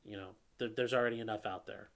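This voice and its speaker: A man's voice: fairly low pitch, fairly even intonation, quick pace, gravelly. A man, a lawyer hosting a live stream, answering viewer questions in a casual, rambling way with fillers and restarts. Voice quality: calls his own voice nasally; a viewer calls it velvety.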